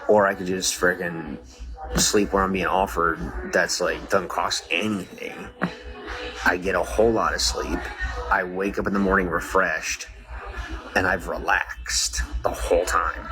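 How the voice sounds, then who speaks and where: A man's voice: sits low, fairly even intonation, a little slow, music in the background. A man chatting casually to a livestream audience in a rambling, filler-heavy way, recorded outdoors on a noisy street with passing traffic. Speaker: streamer.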